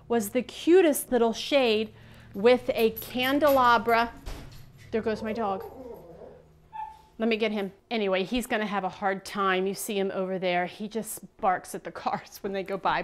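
A woman's voice: mid-range voice, a bit bright. A middle-aged woman with an American accent chatting casually to the camera as a vlogger, with playful asides. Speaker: vlogger showing thrift finds.

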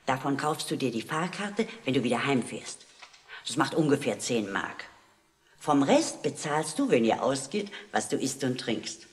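Lively, fussing mother. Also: rumbling low, measured pace, heavily acted. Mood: careful, instructing. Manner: admonishing, instructing, motherly. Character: caring, fussing working-class mother of a schoolboy. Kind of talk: 1960s German radio play acting. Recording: vintage record with background noise.

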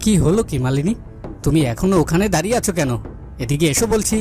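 Man playing a concerned, higher-voiced fairy-tale king. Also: expressive, fast, music in the background, close to an everyday voice. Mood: expectant, commanding. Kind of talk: cartoon fairy-tale acting.

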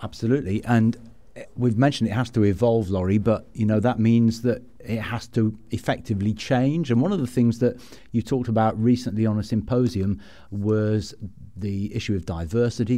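Mellow, low-pitched male podcast host. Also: fairly even intonation, moderate pace. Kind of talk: conversational interviewing.

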